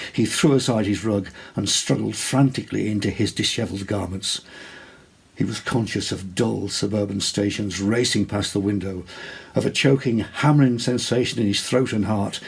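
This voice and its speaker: A man reading a literary short story as an actor would, measured, expressive and deliberate in his dramatic narration. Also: on the low side, gravelly, breathy edge.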